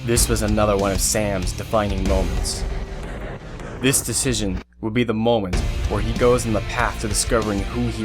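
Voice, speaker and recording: A man reading scripted story narration for an audio drama. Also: on the low side. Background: music, guitar.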